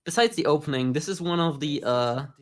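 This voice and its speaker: A man's voice: on the high side, a little fast. A man giving casual, sarcastic, joking reaction commentary on an anime as a podcast co-host.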